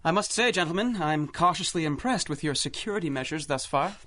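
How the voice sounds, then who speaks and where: An actor playing a confident, condescending young millionaire inventor, suave and grandiose, in a 1930s-style radio drama. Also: male voice, a little high, animated, brisk, loud music behind the voice, natural voice. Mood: assured.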